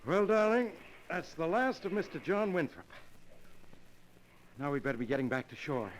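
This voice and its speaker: Cool, commanding schemer in an old radio mystery; animated delivery, clearly noisy vintage recording. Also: male voice, a little high, normal speed. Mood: smug, calculating. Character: scheming, self-assured male murderer and secret lover. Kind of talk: 1940s radio mystery acting.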